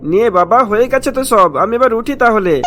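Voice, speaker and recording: Guy doing a spoiled rich little brother in a cartoon, talks fast, dismissive, highish voice, music behind. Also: close to an everyday voice. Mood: impatient, dismissive. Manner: hurried, dismissive. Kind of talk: cartoon story acting.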